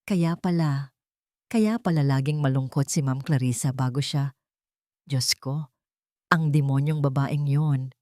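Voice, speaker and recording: A woman's voice: a bass voice, a little slow, pauses often, mellow, dry recording, clean studio sound. A woman voicing a loyal elderly housekeeper in an audio drama, earnest, emotional and fiercely resolute. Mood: anguished. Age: older.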